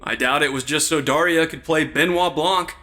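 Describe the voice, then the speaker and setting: A man's voice: on the high side, moderate pace, sharp tone. Man as a casual, wry investigator sidekick. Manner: casual, dry quipping. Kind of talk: supernatural comedy audio drama acting.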